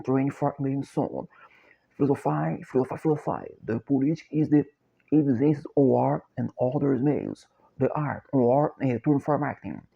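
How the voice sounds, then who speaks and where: Gravelly Brazilian man chanting slow mantras. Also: medium pitch, dark tone. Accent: Brazilian Portuguese. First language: Portuguese. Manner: slow, halting, repeats phrases like a mantra. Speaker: self-described copywriter and philosopher. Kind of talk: repetitive chant-like monologue. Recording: dull lo-fi recording.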